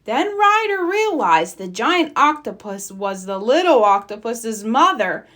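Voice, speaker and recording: A woman narrating a children's storybook in an animated read-aloud style. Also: a little high, unhurried. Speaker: storybook reader.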